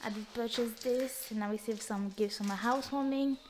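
A woman's voice: a little high, steady tone, a little fast, background noise. A young woman from Montreal whose first language is French, casually narrating a tour of her apartment with many fillers and loose grammar. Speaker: vlogger giving a home tour. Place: small apartment rooms indoors. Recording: loud background music.

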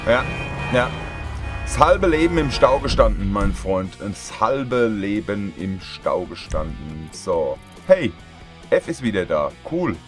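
A man's voice: on the low side, sing-song, slow. A man with a slight cold casually commenting on his game, chatting and joking with viewers. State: a bit stuffy from a cold. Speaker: let's player. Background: loud game music.

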